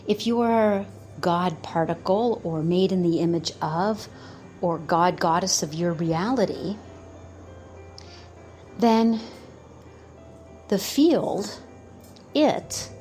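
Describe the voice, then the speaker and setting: A woman in her fifties giving a slow, earnest motivational talk about manifesting, pausing often between phrases. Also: medium pitch, darkish tone. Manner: slow, pausing, earnest, quotes doubters in put-on voices. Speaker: manifestation coach.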